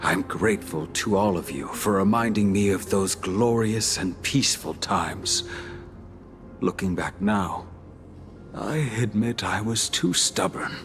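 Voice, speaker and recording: Older male musician in exile, fantasy game: courteous, reflective, modestly deep, soft music behind. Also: measured pace. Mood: grateful, reflective. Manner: formal, gracious, reflective. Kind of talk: video game dialogue. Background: faint music.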